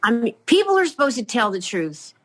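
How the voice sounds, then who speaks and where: An older woman chatting as a podcast guest, rambling and halting, with many fillers and restarts. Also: fairly high pitch, very animated, fairly bright tone. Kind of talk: casual chat.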